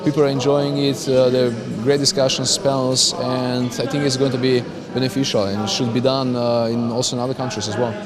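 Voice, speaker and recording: A man with a Slovenian accent answering interview questions as a company founder, halting as he searches for words. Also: medium pitch, level tone, over loud music. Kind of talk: interview answers at a business conference. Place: crowded conference venue. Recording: busy event with loud crowd chatter. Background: loud crowd chatter.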